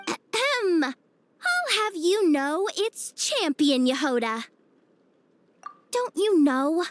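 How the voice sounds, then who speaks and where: A woman's voice: very high voice, big pitch swings, drawn-out words, slight background noise, full character voice. A woman voicing a proud, self-important young child champion with hidden insecurity, in a video game. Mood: boastful.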